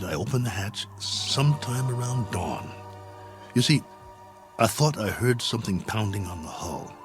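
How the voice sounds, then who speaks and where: An actor playing a lonely, stranded astronaut colonel in an old television drama, brooding and wry as he muses to himself. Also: lowish voice. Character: stranded astronaut colonel, weary, lonely, anxious but dogged. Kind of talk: 1960s television drama acting, monologue log entries. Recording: old television soundtrack with music underneath.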